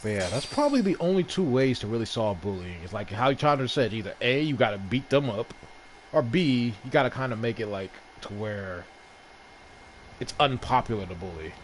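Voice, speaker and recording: A man's voice: medium pitch, animated, normal speed. A man streaming a video game with casual, rambling, repetitive commentary. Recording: noisy stream, game audio behind. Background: video game audio.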